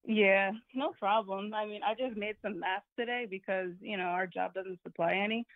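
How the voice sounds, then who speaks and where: A woman's voice: mid-range voice, steady tone, moderate pace, no room sound. A woman calling in to a live show, friendly and giggly, with a slightly scratchy voice. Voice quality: a little scratchy. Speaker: caller. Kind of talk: casual phone call. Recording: tinny phone line.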